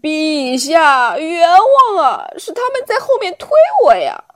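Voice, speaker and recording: A woman's voice: high voice, very animated, measured pace, no room sound, studio quality. A woman voicing a poor, frightened old onion father who pleads and cries out in panic. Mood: panicked.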